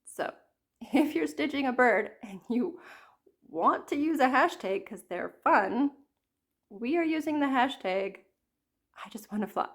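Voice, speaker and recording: A woman in her thirties chatting casually about her cross-stitch projects, her voice a little croaky from a cold, rambling and apologizing often. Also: high voice, expressive, unhurried, pauses often. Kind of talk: casual chat to camera.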